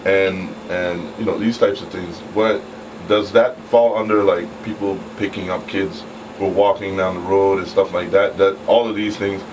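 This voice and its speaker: A man's voice: sits low, steady tone, moderate pace. A man co-hosting a talk show, asking questions casually. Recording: distant mic, noisy room air.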